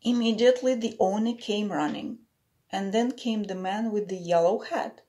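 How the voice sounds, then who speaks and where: A woman's voice: mid-range voice, moderate pace, warm tone. A woman in her thirties or forties reading a picture book to children as a teacher, with simple explanatory asides.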